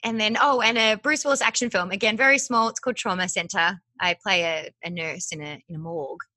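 A woman in her thirties, a TV host and actress, chatting as a podcast guest over a video call with a desk mic, bubbly and quick to laugh. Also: mid-range voice, bright, forceful tone, no room sound. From Australia. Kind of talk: casual podcast interview. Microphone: desk condenser mic, video call.

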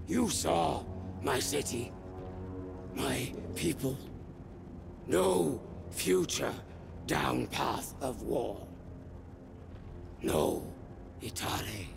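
A man voicing a solemn, weary alien seer for a game, croaky and breathy, speaking halting broken English in short phrases. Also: mid-range voice, expressive, full character voice. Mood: grave, firm. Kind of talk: video game cutscene acting. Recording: music under the voice.

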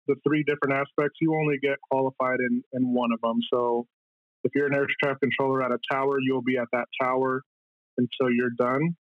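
A man's voice: medium pitch, no echo, phone-quality sound. A middle-aged man giving casual, explanatory answers as an interview guest. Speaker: interview guest, air traffic controller.

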